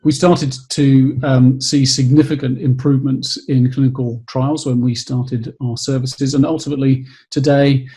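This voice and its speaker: A man with a gravelly, husky voice and a mellow, darker tone. He explains his company's work in a measured way, pausing often and repeating words. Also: mid-range voice, level tone, rough recording. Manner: measured, with frequent hesitations and repeats. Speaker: company executive. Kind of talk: webcast pitch.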